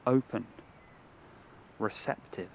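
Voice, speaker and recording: A man leading a guided meditation in measured, short phrases separated by long pauses, speaking outdoors. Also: a little hiss or hum. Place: outdoors among trees.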